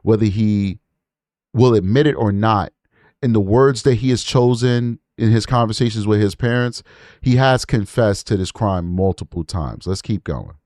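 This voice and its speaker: A man's voice: on the low side, moderate pace, a little mellow, no room sound, clean studio sound. A man hosting a true crime livestream, casually commenting on audio clips and repeating words for emphasis.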